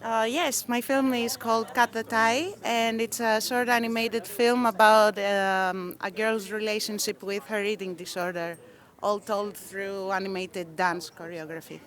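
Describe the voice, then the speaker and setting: A woman's voice: mid-range voice, fairly even intonation, a little slow, fairly bright tone. A young woman from Greece, an animation filmmaker, answering interview questions in an earnest way. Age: young adult.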